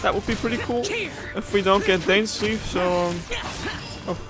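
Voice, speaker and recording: A young man doing casual gameplay commentary in a chatty, excitable, rambling way. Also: high voice, moderate pace, over music. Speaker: gaming YouTuber.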